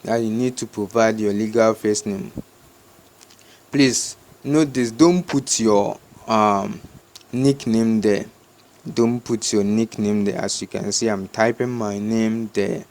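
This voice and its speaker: A man with a Ghanaian accent gives unscripted step-by-step instructions in a halting, repetitive way, as a tutorial host. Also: lowish voice, steady tone, darkish tone.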